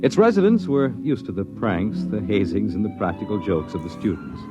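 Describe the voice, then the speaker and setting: A low-voiced radio announcer narrates with dramatic, sweeping intonation. The delivery is measured and portentous, in the old 1950s broadcast manner, with prominent music under muffled audio. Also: male voice, big pitch swings. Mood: portentous, scene-setting. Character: male radio announcer narrating an eerie science fiction tale.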